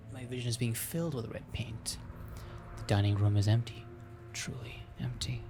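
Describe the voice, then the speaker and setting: A man's voice: lowish voice, vocal fry, noisy background. A man reading a visual novel aloud as host, with theatrical narration and joking asides.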